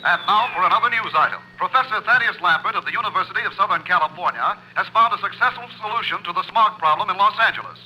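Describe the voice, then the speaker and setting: Bright, forceful radio news announcer. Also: male voice, high-pitched, lively intonation, fast talker, hardly any pauses, breathy edge, lo-fi sound, full character voice. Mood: formal, straight-faced. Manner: formal, straight-faced announcing. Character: crisp straight-faced radio news announcer. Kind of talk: 1950s radio newscast parody. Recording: slightly noisy old radio recording.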